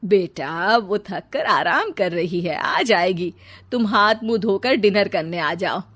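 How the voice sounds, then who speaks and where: A woman voicing a gentle middle-aged mother-in-law in a cartoon story, speaking in a placating, reassuring way. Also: mid-range voice, animated, takes it slowly, no echo.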